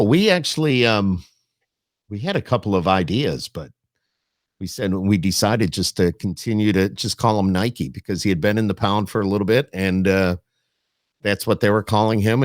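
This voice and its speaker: A man's voice: lowish voice, studio quality. A man hosting a podcast, chatting casually with his guests and often echoing his own agreement. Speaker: podcast host interviewer. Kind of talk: casual chat interview.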